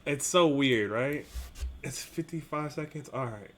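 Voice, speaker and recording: A man's voice: medium pitch, unhurried, very noisy. A man hosting a reaction video, talking casually and directly to viewers in informal, slangy speech.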